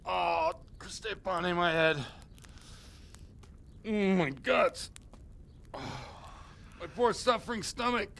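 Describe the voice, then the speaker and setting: An actor playing a hungover, scheming village priest in a video game, groaning and coarse-mouthed in a dramatic way. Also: male voice, high-pitched, slow, gravelly, pauses often, a bit bright, a little hiss or hum, exaggerated voice. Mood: panicked, suffering. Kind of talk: video game cutscene acting.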